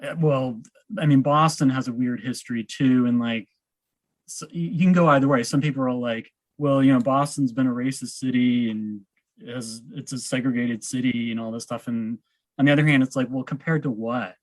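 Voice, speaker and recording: A man's voice: medium pitch, fairly even intonation, normal speed, no room sound, poor audio. Podcast host: American man, mid-range voice, informal and reflective, dry studio-close sound. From Massachusetts, United States. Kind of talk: casual interview conversation.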